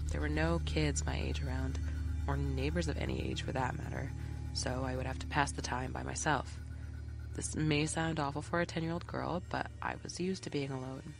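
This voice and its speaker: A storyteller narrating a scripted horror tale in the first person, with a measured, suspenseful delivery and a creaky voice that turns breathy at the ends of phrases. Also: medium pitch. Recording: music behind the voice. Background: background music.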